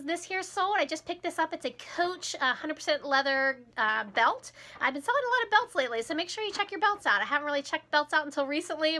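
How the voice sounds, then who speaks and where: A chatty woman in her thirties speaks close to the camera in a small room at home. Her voice sits high and rings brightly, with a slight froggy edge from a cold, and she laughs easily as she talks. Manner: chatty and upbeat, laughs often. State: recovering from a cold, a bit hoarse. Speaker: resale vlogger. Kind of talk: casual chat.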